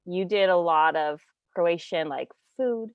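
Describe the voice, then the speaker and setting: A woman's voice: on the low side, a little slow, clear, resonant tone, no room sound, phone-quality sound. A woman co-hosting a writing podcast in casual chat, talking loosely with many "like"s and laughing along. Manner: chatty, lots of "like" fillers, laughs along. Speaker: podcast co-host.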